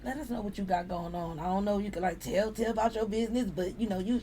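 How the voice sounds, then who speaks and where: A woman hosting a casual chat show, prompting her guest and chiming in with quick affirmations. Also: mid-range voice, warm tone. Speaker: host. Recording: distant mic with faint noise.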